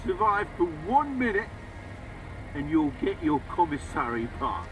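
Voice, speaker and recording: An actor playing a swaggering, unhinged military colonel in a video game, barking and taunting theatrically. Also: male voice, on the high side, sing-song, a little slow, recorded from a distance, over music, poor audio. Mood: manic, bloodthirsty. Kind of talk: video game character acting.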